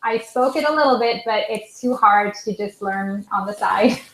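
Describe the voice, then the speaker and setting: A woman's voice: mid-range voice, normal speed, a bit bright. Woman with a Swiss German accent, hesitant and full of fillers, echoey room on a video call. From Switzerland. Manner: hesitant, many fillers and restarts. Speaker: guest pianist. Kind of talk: live online interview.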